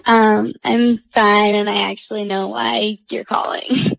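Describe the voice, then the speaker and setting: A woman's voice: mid-range voice, level tone, slow pace, gravelly, dry recording, soft background music, low-quality recording. A young woman chatting casually on a call with a radio show, blunt and slangy. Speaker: person phoned by a radio show. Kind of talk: casual phone conversation.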